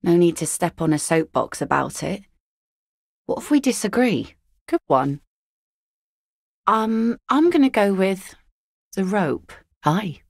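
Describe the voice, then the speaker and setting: A woman voicing an easygoing, philosophical seafaring mother in a video game, with a wry, gently teasing, folksy manner. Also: mid-range voice, very animated, slow, gravelly, long pauses, no room sound, clean studio sound, heavily acted. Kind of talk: naturalistic video game dialogue acting.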